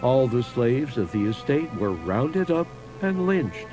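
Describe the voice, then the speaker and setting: A man giving a measured, solemn scripted narration of eerie local history, like a documentary host. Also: mid-range voice, very animated. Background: music under the voice.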